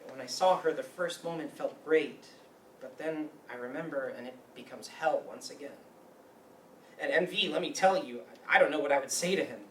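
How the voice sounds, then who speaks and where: In an echoey room, some distance from the mic, a man acts out a wounded, bitter young man in a stage drama. He is anxious and brooding, and his voice has a bright edge. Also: a little high, normal speed, lightly acted. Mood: brooding, reflective. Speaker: actor in a staged reading. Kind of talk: live stage play reading. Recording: roomy, distant mic with faint noise.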